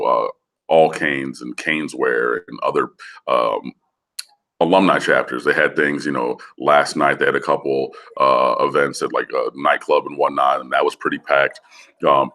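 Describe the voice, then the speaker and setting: A man with an extremely low, gravelly voice, hoarse from shouting, talks about football in a loose, rambling way. He leans on fillers and restarts, and his voice comes through a phone line. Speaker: guest analyst. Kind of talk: casual sports chat over the phone.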